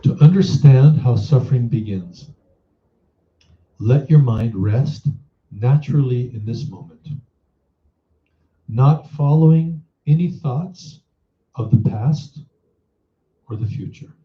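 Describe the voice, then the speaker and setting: A man guides a meditation at a very slow, measured pace, with an average-pitched voice. He teaches by putting reflective questions to the listener. The recording is dull, with a clear roomy echo.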